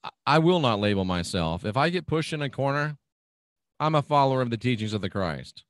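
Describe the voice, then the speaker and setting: A man's voice: mid-range voice, very animated, fairly quick, dry recording, clean studio sound. A man hosting his own radio show, chatting casually and reading out listener comments in a folksy, agreeable way. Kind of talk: casual talk reading out live chat comments.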